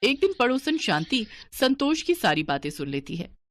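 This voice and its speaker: A woman giving steady, scripted narration for a cartoon moral story. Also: fairly high pitch, moderate pace, no echo.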